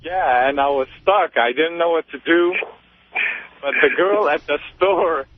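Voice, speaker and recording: A man in his twenties calling a radio show, telling a story casually and a little haltingly. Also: a little high, dry recording. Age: 20s. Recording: tinny narrow phone line.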